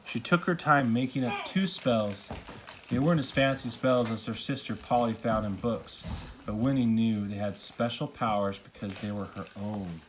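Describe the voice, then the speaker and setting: A man's voice: on the low side, normal speed, background noise. A man reading a children's storybook aloud as a parent at bedtime. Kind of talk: storybook read-aloud narration.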